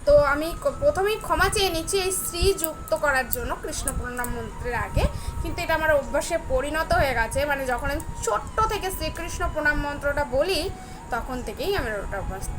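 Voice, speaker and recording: High-pitched young woman, noisy recording. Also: animated, a little fast. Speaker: devotional vlogger. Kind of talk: personal devotional talk to viewers. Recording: clearly noisy, ambient background sound.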